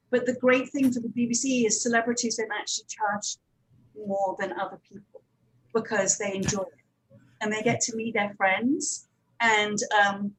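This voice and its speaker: A woman's voice: mid-range voice, a little hiss or hum, poor audio. A woman giving informal, practical advice as a speaker on a conference panel. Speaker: panel speaker, audio drama producer.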